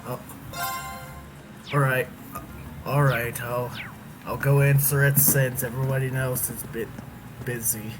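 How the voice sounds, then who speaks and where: A man doing casual co-commentary on a game with improvised role-play, halting as he searches for words. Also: mid-range voice, little pitch movement, music in the background, poor audio. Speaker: video game let's player, co-commentator.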